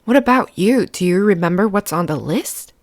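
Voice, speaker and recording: Eager kid brother cat from a storybook, voiced by a woman, friendly, childlike, lively pitch. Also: normal speed, no echo, clean studio sound, natural voice.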